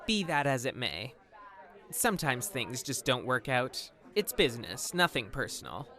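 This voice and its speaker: A condescending, haughty interviewer from a supernatural audio drama, as an actor voices it. The voice sits at a middling pitch and swings up and down a great deal, dripping with dismissive superiority. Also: very animated, unhurried, bright, forceful tone, some chatter behind, heavily acted. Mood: polite, dismissive. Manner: condescending, dismissive, swooping intonation.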